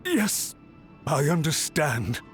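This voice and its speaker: A cowed manservant in a fantasy game, his voice a little high. He speaks haltingly and very deferentially, with soft music underneath. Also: very animated. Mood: cowed, apologetic. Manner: meek, halting, apologetic. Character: chastened, deferential male servant of a noble house. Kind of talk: scripted game dialogue.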